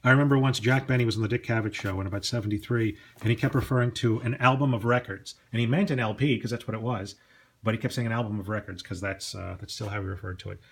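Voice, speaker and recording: A man's voice: on the low side, fairly even intonation, fast talker. A middle-aged man gives a casual, unscripted show-and-tell as a collector, chatty and prone to digressions. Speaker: record collector presenting collection. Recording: clear background noise, clicks.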